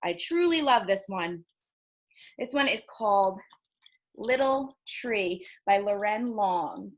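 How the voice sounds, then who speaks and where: A woman librarian reading picture books aloud to children, expressive and encouraging, often putting questions to her young listeners. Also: mid-range voice, slow.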